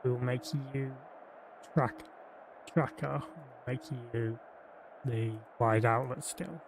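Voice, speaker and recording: A man's voice: medium pitch, fairly even intonation, takes it slowly, background noise. A man from Yorkshire streaming a football management game, chatting casually and thinking aloud with fillers and restarts. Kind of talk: live game commentary and chat.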